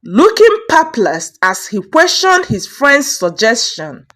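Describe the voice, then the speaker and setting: A woman tells a folktale in a fairly high voice that moves all over its range. She reads a scripted narration with energy and lifts her voice on the dramatic moments. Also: slow pace. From Nigeria. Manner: lively, rising on dramatic turns. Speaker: storyteller.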